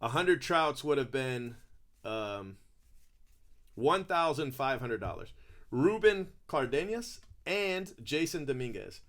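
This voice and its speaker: A man's voice: mid-range voice, very animated, takes it slowly, a bit bright. A man hosting a sports card break, chatting casually, laughing often and calling out player names as he opens packs.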